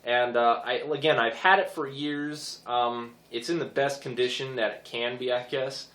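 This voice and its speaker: Hoarse young guy rambling about his toys, lots of uh and you know, echoey room. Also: medium pitch, steady tone, moderate pace, fairly bright tone. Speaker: toy collector reviewing figures. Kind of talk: casual review vlog.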